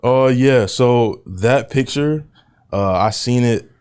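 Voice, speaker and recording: A young man, an athlete, answering an interview in a relaxed, rambling way with repeated fillers. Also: medium pitch, slow, clear, resonant tone.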